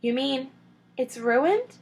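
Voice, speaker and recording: A woman's voice: sits high, lively intonation, slow pace, darkish tone, slightly rough, pitched above the performer's own voice, full character voice. A woman reading a story and voicing a huge, coarse servant woman who is grumbling but dutiful.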